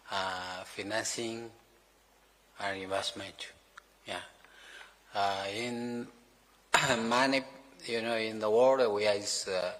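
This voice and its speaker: A man giving formal remarks as a company executive in halting English, full of fillers and grammar slips. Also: fairly low pitch, a bit bright. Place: formal meeting room. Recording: reverberant meeting hall.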